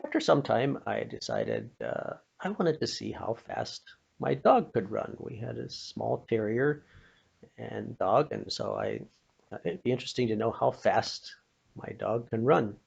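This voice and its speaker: Gravelly older North American man telling stories. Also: medium pitch, big pitch swings, unhurried, a little mellow. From Pennsylvania, United States. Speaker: storyteller. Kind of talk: personal storytelling with a moral. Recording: dull, muffled lo-fi recording.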